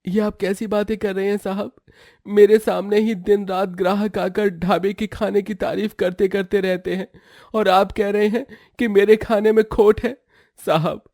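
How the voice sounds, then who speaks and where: A man's voice: high voice, darkish tone, no room sound, studio quality, voice pushed up. A man voicing a humble, earnest cook and father in a moral story, deferential in manner. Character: humble, pleading male cook, worried ageing father. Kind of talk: acted moral-story dialogue.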